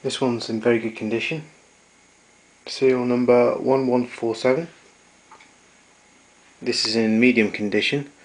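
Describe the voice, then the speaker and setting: A man narrating a casual show-and-tell of his tape recorders, explaining step by step with pauses and fillers. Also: mid-range voice, fairly even intonation, low-quality recording.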